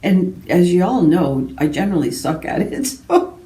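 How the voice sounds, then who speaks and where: A woman's voice: lowish voice, very animated, moderate pace, dark tone. A woman from Canada chatting casually and ramblingly to her viewers as a book vlogger, in a self-deprecating way. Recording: roomy echo, distant microphone.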